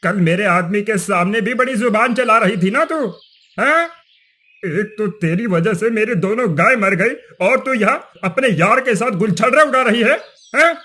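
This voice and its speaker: A man's voice: high-pitched, animated, measured pace, pushed and bright, heavily acted. A man voicing a cruel, greedy village headman in a cartoon story, sneering, accusing and blustering. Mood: angry, scornful. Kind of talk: cartoon moral story voice acting.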